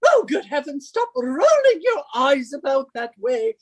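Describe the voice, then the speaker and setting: Shrill, bossy wife. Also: female voice, big pitch swings. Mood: scolding. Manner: nagging, scolding, emphatic, drawn-out delivery. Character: bossy henpecking British wife, shrill and imperious. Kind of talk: theatrical radio suspense acting.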